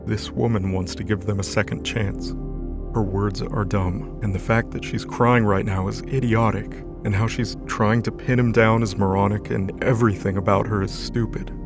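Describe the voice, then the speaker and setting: A man's voice: fairly low pitch, fairly even intonation, normal speed, vocal fry, music in the background. A man narrating an audio drama in a scripted, dramatic storytelling style.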